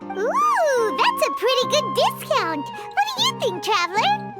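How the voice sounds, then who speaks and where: A woman voicing a tiny, chatty fairy companion who talks about herself in the third person, with a squeaky, childlike voice, in video game dialogue. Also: wildly swooping pitch, measured pace, exaggerated voice. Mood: excited. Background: game music.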